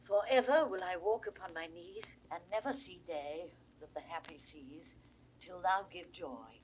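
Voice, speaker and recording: A woman's voice: fairly low pitch, a little slow, lightly acted, rough recording. A woman with a British RP accent playing a desperate, aging duchess in a Shakespearean audio drama, pleading in impassioned verse. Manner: impassioned, sweeping verse delivery. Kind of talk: classical Shakespearean stage acting. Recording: vintage 1960s recording, faint noise.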